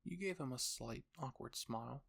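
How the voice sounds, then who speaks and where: A man's voice: mid-range voice, normal speed, vocal fry, a bit bright, slightly rough. A man narrating a scripted roleplay story, addressing the listener in the second person.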